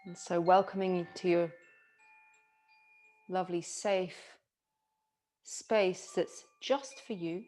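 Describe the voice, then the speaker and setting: A woman yoga teacher guiding a relaxation meditation in a soothing, gentle manner with long pauses. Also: fairly low pitch, steady tone, faint music behind.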